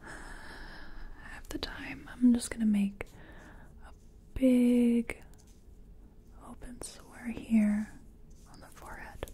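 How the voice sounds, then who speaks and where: A woman doing soft-spoken ASMR roleplay as a makeup competition contestant, half-whispering in a hesitant, chatty, self-effacing way. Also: fairly high pitch, flat delivery, very slow, long pauses, slightly rough.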